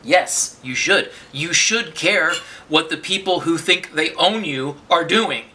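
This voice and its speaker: Sharp-toned man ranting to camera. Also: a little high, animated, slow pace. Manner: rambling, with many restarts and profane outbursts. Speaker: vlogger. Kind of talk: unscripted political monologue to camera.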